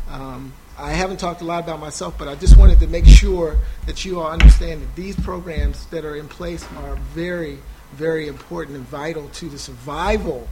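A man speaking on a panel about his son's schooling, halting with many fillers and restarts. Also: a little high, very noisy. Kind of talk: personal testimony at a panel. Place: small intimate room. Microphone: no PA, recorded for a podcast.

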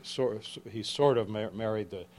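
An older man in his seventies, originally from the Czech Republic, speaks as an author to a live audience. His voice sits fairly low, and he talks haltingly, often restarting and correcting himself mid-sentence. A faint noise runs underneath. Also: darkish tone. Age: 70s. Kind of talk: book talk to a live audience. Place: event room with an audience.

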